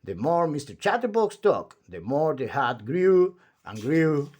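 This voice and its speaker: Male storybook reader, highly varied intonation, theatrical delivery for young listeners. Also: measured pace. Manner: animated, theatrical. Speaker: storyteller reading aloud. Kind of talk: expressive children's storybook read-aloud.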